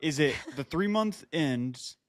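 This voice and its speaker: A man's voice: on the high side, expressive, slow, no echo. Man in dry-sounding casual podcast chat. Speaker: podcast co-host.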